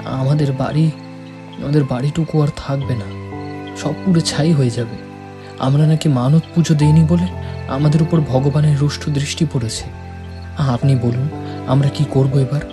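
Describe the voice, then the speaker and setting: A man's voice: medium pitch, fairly even intonation, normal speed, pauses often, close to an everyday voice. A man playing a naive, anxious village youth, speaking earnestly and respectfully in an audio horror drama. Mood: anxious, pleading. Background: music.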